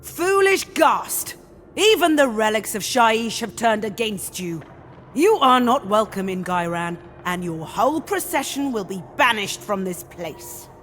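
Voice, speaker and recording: Scornful commanding guardian, game cutscene, high voice, big reverb, haughty banishing tone. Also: male voice, animated, measured pace, a bit bright. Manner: declamatory, haughty. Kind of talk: video game cutscene acting. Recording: long reverb, faint ambient noise.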